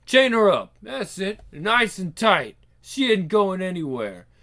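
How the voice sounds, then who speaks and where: A man's voice: high-pitched, sing-song, a little slow, sharp tone, full character voice. A man playing a thuggish mafia henchman, cocky and cruel, with a gloating, tough-talking manner.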